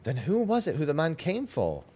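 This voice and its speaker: A man's voice: on the high side, huge pitch swings, moderate pace, warm tone, pitched above the performer's own voice. A man reading out the lines of earnest, formal fantasy game adventurers in archaic phrasing. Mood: worried, urgent. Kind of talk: reading game dialogue aloud.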